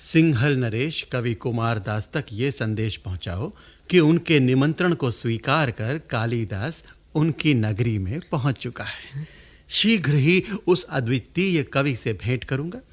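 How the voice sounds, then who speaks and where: An actor playing a wise, gentle old master poet in a Hindi radio drama, speaking in a measured, stately, affectionately commanding way. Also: male voice, mid-range voice, animated. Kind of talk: theatrical radio drama in formal Sanskritized Hindi.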